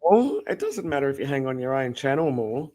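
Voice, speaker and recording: A man's voice: mid-range voice, big pitch swings, brisk, warm tone. A man chatting casually and reassuringly on a late-night stream, swearing now and then.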